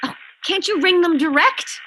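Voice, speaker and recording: A woman's voice: very high-pitched, normal speed, pushed and bright, slight background noise. A woman playing a haughty, fretful married lady in a 1940s-style radio thriller, insistent, exasperated and stammering when flustered. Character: imperious, fretful, high-strung married woman.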